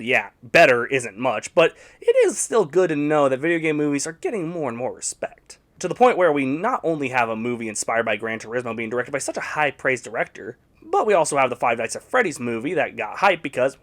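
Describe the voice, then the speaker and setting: Lively, sarcastic male reviewer. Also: mid-range voice, a little fast. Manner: sarcastic, animated, blunt opinions. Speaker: video game movie reviewer. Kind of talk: scripted video essay commentary.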